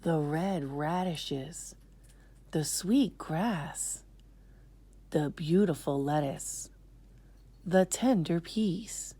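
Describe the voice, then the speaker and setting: A woman's voice: sits low, extremely slow, long pauses, dark tone, husky, heavily acted. A woman voicing greedy, unashamed sheep in a children's story, lingering dreamily over each word. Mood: dreamy relish.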